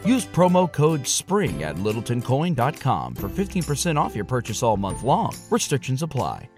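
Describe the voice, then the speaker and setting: A man's voice: medium pitch, wildly swooping pitch, normal speed, a little mellow. A man reading a scripted radio-style ad in an upbeat, promotional voice. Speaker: ad announcer. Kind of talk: scripted ad read. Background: music.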